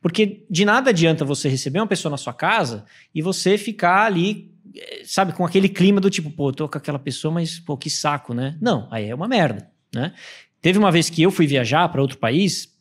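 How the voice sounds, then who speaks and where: A man's voice: on the high side, brisk, breathy edge. A man in his thirties, a guest on a podcast, telling stories and acting out the people he quotes, speaking into a studio mic. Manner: animated storytelling, acts out quoted people. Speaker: podcast guest, Airbnb host. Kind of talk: casual podcast chat. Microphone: studio mic with headphones.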